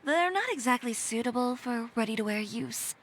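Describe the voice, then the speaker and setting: A woman's voice: on the high side, lively intonation, normal speed, a little hiss or hum, natural voice. A woman voicing a composed, self-assured fashion designer who owns a boutique and speaks directly. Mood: businesslike. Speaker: boutique owner. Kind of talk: video game voice acting.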